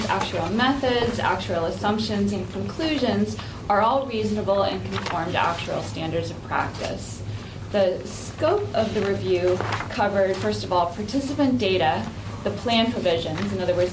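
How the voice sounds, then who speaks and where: Female professional presenter, medium pitch, formal technical delivery, distant mic in an echoey room. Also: noisy background. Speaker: actuary, guest presenter. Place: indoor meeting room.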